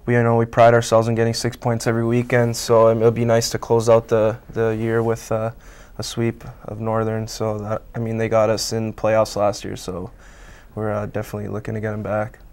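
Young man with a flat, smooth voice. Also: fairly low pitch, brisk. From Canada. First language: Italian. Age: 20s. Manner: relaxed, many fillers like um and I mean. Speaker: college hockey player guest. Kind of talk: casual interview answers. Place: small local TV news studio, indoors. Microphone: lapel mic.

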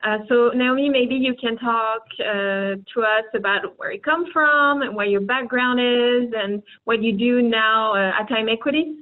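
A woman's voice: fairly high pitch, measured pace, few pauses. A woman in her thirties interviewing a colleague on a video call, asking polite, brief questions. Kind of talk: hosting an interview. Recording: tinny phone-like call audio.

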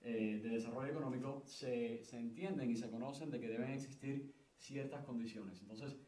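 A man's voice: mid-range voice, normal speed, dark tone. Young man answering, hesitant, roomy and distant. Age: young adult. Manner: hesitant, many fillers and restarts. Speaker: book co-author on a panel. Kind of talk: explanatory panel answer. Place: conference room, seated at a panel table. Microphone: table stand mic.

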